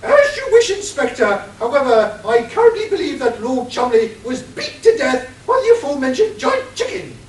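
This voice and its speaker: A woman's voice: big pitch swings, moderate pace, few pauses, darkish tone. A woman playing a dim-witted titled lady detective in an amateur radio play, with a pompous, blustering manner. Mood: haughty. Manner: pompous, blustering, swooping pitch. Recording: roomy, distant, faint hiss.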